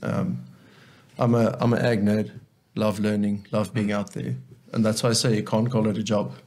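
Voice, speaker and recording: A man's voice: fairly low pitch, level tone, normal speed, darkish tone. A South African man whose first language is Afrikaans, speaking earnestly as a guest in a casual interview and often repeating words as he thinks. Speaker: guest, agronomist.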